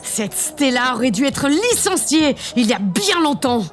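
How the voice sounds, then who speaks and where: Imperious high-voiced lady president. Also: very animated, unhurried, heavy background noise. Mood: furious. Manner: theatrical, haughty. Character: imperious, vain, furious female president.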